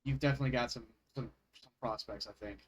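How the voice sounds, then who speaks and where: A man's voice: medium pitch, fairly even intonation, fast. A man running a tabletop game, chatting casually and rambling off on tangents with frequent laughs. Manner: rambling, laughs often, self-mocking. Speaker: game master.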